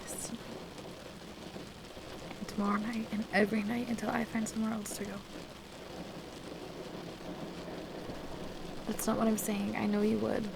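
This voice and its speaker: A distressed, defensive woman played by an actress in an intimate audio roleplay. Her voice is creaky and halting, full of emotion, at a middling pitch. Clear background noise like rain runs behind her. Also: little pitch movement, lightly acted. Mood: tense, pleading. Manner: halting, emotional, pleading conversational delivery. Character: distressed, soaked woman wanting to leave her partner, defensive. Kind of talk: intimate ASMR roleplay audio drama, one side of a conversation.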